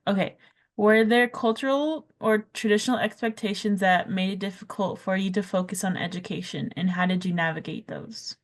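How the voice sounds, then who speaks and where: A woman's voice: mid-range voice, normal speed. A woman moderating a panel discussion, friendly and a little scattered.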